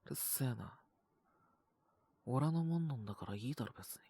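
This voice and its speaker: A man's voice: mid-range voice, expressive, normal speed, vocal fry, mellow, breathy edge. A man voicing a sullen, possessive young wolf beastman with a cold, speaking in blunt, curt grumbles in an audio roleplay. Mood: annoyed, defensive. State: sick with a cough, acted. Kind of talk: Japanese audio roleplay voice acting.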